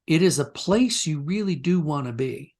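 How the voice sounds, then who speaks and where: A man with a moderately high-pitched voice speaks as an interviewed writer. He is thoughtful and discursive, telling stories conversationally and often restarting a sentence to reword it. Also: lively intonation, moderate pace, a little mellow. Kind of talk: conversational interview, reflective storytelling.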